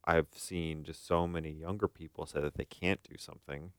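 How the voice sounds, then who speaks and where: A man's voice: rumbling low, fairly even intonation, normal speed, clear, resonant tone, dry recording, clean studio sound. A man hosting a podcast in a casual conversational style, thinking aloud and often checking for agreement. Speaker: podcast host.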